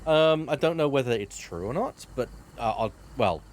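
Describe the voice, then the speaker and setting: Man with a soothing, even voice. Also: mid-range voice, normal speed. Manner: relaxed and rambling, with frequent restarts and "um". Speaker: streamer hosting a charity stream. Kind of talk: casual chat while playing a game.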